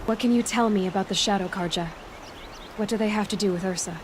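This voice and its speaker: A woman's voice: medium pitch, fast, noisy background, lightly acted. A woman playing a young huntress heroine in a video game, speaking in a direct, inquisitive, plainspoken way. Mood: direct, curious. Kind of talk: scripted video game dialogue.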